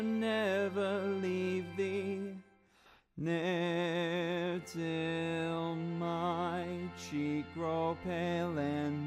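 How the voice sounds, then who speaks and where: Woman as a young man singing very slowly. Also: medium pitch. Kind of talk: slow sung lullaby.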